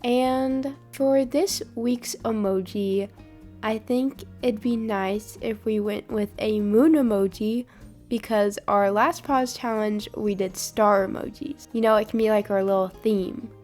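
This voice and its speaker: A young woman, hoarse from a sinus infection, chatting upbeat in a voiceover about her drawing. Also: mid-range voice, steady tone, unhurried, a little mellow, faint music behind. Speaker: art channel host. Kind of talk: voiceover over drawing footage.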